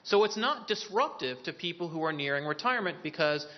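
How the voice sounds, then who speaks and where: A man's voice: on the high side, animated. Man explaining policy, dull lo-fi sound. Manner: explanatory, poses questions then answers them; occasional restarts and filler pauses. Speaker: policy speaker on a briefing panel. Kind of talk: policy presentation to an audience. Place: briefing room.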